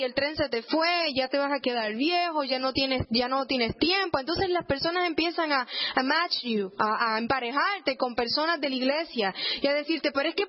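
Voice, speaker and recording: A woman with a Puerto Rican accent speaks in a high voice as she gives a personal church testimony. Her delivery is earnest, leaning on repeated words for emphasis. The recording sounds dull and a little muffled. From Puerto Rico. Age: 30s or older.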